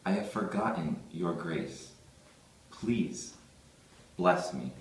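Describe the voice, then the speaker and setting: A man's voice: low-pitched, lively intonation, slow pace, creaky, exaggerated voice. A man playing a sly scheming brother. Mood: humble. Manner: sly, persuasive. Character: scheming smooth-talking brother in a crime family. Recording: echoey room, distant mic.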